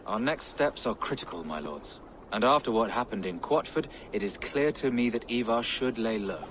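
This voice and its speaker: A careful, pious bishop. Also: male voice, on the low side, expressive, fairly bright tone, slight background noise, low-quality recording. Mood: grave. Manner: measured, prayerful, cautious. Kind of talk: video game cutscene acting.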